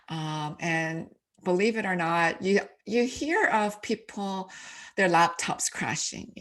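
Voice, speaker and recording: A woman's voice: lowish voice, fairly bright tone. A middle-aged woman speaking conversationally as a podcast guest, telling personal stories as a professor and author would. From Canada, born in Korea. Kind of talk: conversational interview, personal storytelling. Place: remote video call.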